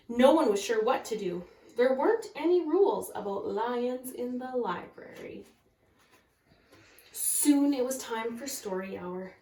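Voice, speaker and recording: A woman's voice: on the high side, a little mellow. A woman kindergarten teacher talking to young children and reading a storybook aloud. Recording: echoey room, far from mic.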